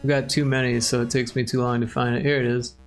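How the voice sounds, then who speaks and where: A man's voice: mid-range voice, little pitch movement, fast, music in the background. A man from Chicago with a Chicago accent, hosting a livestream and chatting casually with viewers in a joking way. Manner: joking, chuckling, chatty with chat. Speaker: livestream host.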